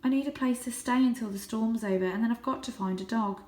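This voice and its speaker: A woman puts on a young male cat from a storybook, high in pitch and very earnest. He is naive yet proud of his pedigree and speaks politely. The sound is roomy and echoing. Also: fast, darkish tone, close to an everyday voice. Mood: earnest, pleading. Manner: polite, earnest, slightly haughty.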